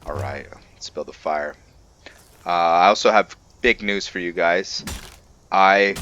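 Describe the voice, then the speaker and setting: A man hosting a gaming channel, giving laid-back, halting roleplay commentary as he thinks aloud. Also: deep voice, bright, forceful tone, dull audio. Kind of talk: casual roleplay game commentary.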